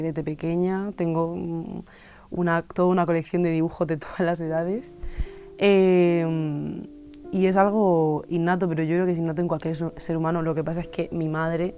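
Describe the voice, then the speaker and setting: Low, mellow-voiced Spanish woman in her twenties. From Spain. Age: 20s. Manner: thoughtful, many pauses and fillers. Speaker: illustrator and musician interviewee. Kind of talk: reflective interview monologue.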